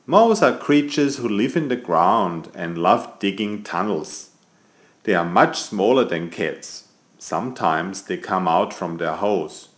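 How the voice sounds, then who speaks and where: A man's voice: on the low side, reverberant. A man voicing a vain, chatty, elegant tomcat who playfully tells a bedtime story to small children. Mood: storytelling. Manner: playful, self-satisfied, addressing small children.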